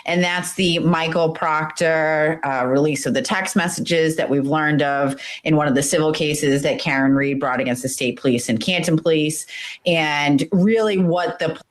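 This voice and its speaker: A woman with a notably low voice hosts an interview show. She speaks in an easy, conversational way, steering her guest into the topic. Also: moderate pace. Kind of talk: podcast interview.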